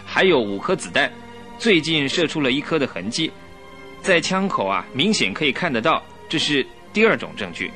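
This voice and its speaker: A man's voice: fairly high pitch, big pitch swings, moderate pace, sharp tone, soft background music. An actor playing a cocky, insistent police inspector in a radio drama, emphatic and pressing as he questions. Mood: self-assured. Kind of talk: Chinese radio drama acting.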